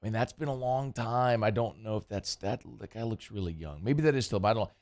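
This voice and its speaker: A man's voice: on the low side, quick pace, darkish tone. A man hosting casual sports talk radio, chatty and rambling, his voice worn and strained. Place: radio studio.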